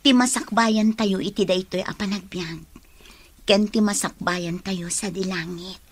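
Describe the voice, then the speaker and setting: A woman's voice: medium pitch, steady tone, unhurried, a little hiss or hum, lightly acted. A woman with an Ilocano accent playing a kind, patient, prayerful wife in a radio drama. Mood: grateful, tender.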